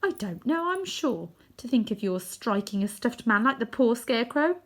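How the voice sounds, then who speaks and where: An indignant, plain-spoken little girl from a storybook, played by a woman reading aloud. The pitch is raised and very lively. The delivery is direct and emphatic. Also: moderate pace. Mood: scolding. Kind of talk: children's storybook character reading.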